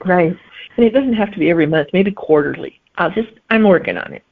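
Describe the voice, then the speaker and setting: A middle-aged woman with a gravelly, creaky voice, talking as a guest author. She answers in an unhurried, thoughtful way and often pauses to find her words. The sound is thin and phone-like. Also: lowish voice, animated. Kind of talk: conversational interview answers. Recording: phone-like remote line.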